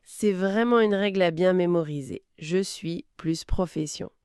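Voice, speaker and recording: Woman language teacher, slow and carefully articulated, lively pitch, dry close sound. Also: no room sound. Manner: slow, clearly articulated, modelling phrases for repetition. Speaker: French teacher. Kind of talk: slow scripted language lesson.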